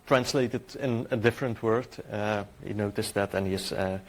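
A man's voice: on the low side, steady tone, normal speed. A middle-aged man whose native language is Dutch, speaking English with a Dutch accent as he presents a technical conference talk, hesitant and full of filler sounds and restarts.

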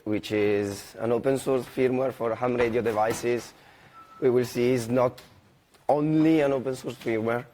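A man from Milan, Italy, giving a technical conference talk in an earnest, matter-of-fact way, his voice hoarse and strained. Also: medium pitch, darkish tone. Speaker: conference presenter.

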